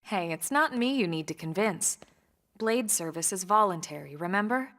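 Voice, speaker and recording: A woman's voice: mid-range voice, animated, moderate pace. A woman playing a cool, capable military team leader in a video game cutscene, composed, dry and matter-of-fact. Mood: dry, wry. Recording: very loud music behind the voice.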